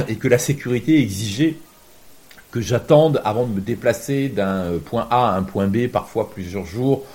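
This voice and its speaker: A man giving deliberate, reflective interview answers as a reporter, often rephrasing himself. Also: fairly low pitch, a little mellow, a little hiss or hum. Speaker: journalist, war reporter being interviewed.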